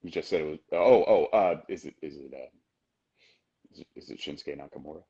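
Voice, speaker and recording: A man co-hosting a wrestling podcast in casual chat, emphatic and talking in quick bursts. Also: a bass voice, mellow, breathy edge, dull audio. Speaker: podcast co-host.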